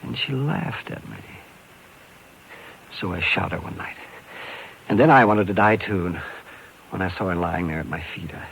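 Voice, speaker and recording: An American actor playing a desperate, guilt-ridden carnival man in 1950s radio style, halting and pleading. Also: sits low, creaky, fairly bright tone, breathy edge, heavily acted, rough recording. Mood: anguished confession. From Illinois, United States. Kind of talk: 1950s radio drama acting. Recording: hissy old radio recording.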